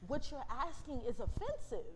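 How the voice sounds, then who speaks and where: A woman's voice: high voice, big pitch swings, normal speed, slight background noise, rough recording. A woman in a staged reading playing an outspoken, principled factory manager with a blunt, exasperated way of talking. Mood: wary, firm.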